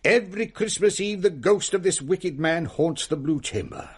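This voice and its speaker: An English actor playing a jovial middle-aged Victorian uncle in a radio drama, telling ghostly tales with grandiloquent relish. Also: male voice, high-pitched, moderate pace, slightly rough. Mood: ghoulish, dramatic. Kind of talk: melodramatic radio drama storytelling.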